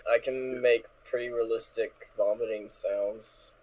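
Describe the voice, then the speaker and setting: A man's voice: mid-range voice, steady tone, unhurried, a little mellow. A man chatting casually in an online group of amateur voice actors. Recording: thin, phone-like online call audio.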